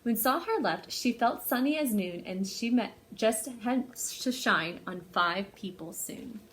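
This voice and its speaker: A woman with a fairly high voice hosts a storytime for young children. Her delivery is highly expressive and melodic, rising and falling widely as she talks to her small listeners and reads to them. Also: a little slow. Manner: animated, addresses young children directly. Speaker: library storytime host.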